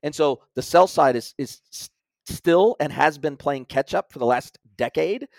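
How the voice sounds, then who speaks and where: A man's voice: fairly high pitch, normal speed, vocal fry, darkish tone, no echo. A man as an interview guest, explaining technical ideas at length in casual conversation, often restarting his sentences. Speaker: guest expert.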